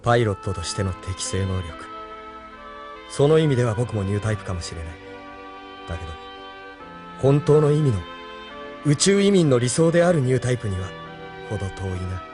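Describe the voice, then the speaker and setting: A man plays a brooding young resistance leader in a Japanese anime radio drama. His voice sits at a middle pitch, earnest and inward-looking, full of self-doubt, with music clearly underneath. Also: sing-song, a little fast, pauses often, lightly acted. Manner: earnest, introspective.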